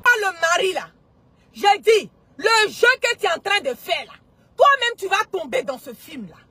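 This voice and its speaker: A woman's voice: very high-pitched, very animated, normal speed, pushed and bright, slight background noise. A woman with an Ivorian accent delivering an indignant, emphatic and mocking political rant as an online commentator, often repeating herself for effect.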